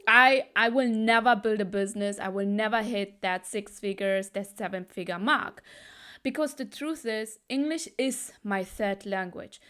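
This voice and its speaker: A woman's voice: mid-range voice, a little slow, fairly bright tone, clean tone. A woman from Germany with Tamil as her mother tongue, giving an emphatic motivational talk as a business coach, often repeating phrases for weight.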